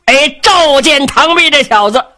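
A woman voicing a boisterous, carefree middle-aged male rebel king who talks loud and brash and laughs a lot. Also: high-pitched, lively intonation, a little slow, voice pushed up, exaggerated voice. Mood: boastful.

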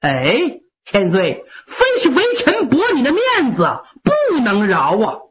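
A woman's voice: on the high side, wildly swooping pitch, measured pace, voice pushed down. A woman storyteller voicing a stern, unbending male army marshal who declaims firmly, sometimes tearfully. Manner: firm, declaiming, at times tearful. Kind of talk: traditional storytelling performance. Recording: lo-fi narrow-band recording.

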